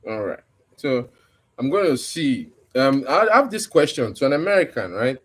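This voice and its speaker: A man's voice: mid-range voice, sing-song, moderate pace, breathy edge. Male livestream host, mid-range voice, casual, explanatory delivery.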